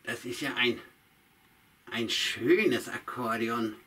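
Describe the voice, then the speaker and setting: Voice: medium pitch, takes it slowly, bright, forceful tone. A puppeteer voicing a sly old witch who chats to herself and hums tunes in a puppet show. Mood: muttering. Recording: voice from a distance.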